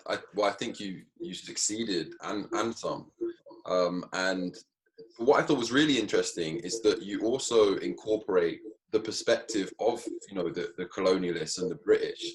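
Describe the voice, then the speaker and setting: Low-voiced male interviewer. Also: poor audio. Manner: builds questions slowly with hesitations. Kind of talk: conversational interview questions.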